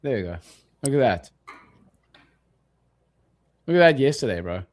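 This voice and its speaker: A man's voice: mid-range voice, very animated, unhurried. A man hosting a trading livestream in casual, slangy, laid-back chat. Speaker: livestream host, futures trader.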